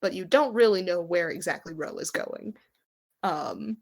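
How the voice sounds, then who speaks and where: A woman's voice: on the low side, animated, unhurried. Conversational woman running a tabletop game. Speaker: game master. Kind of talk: narrating and refereeing a tabletop game, unscripted.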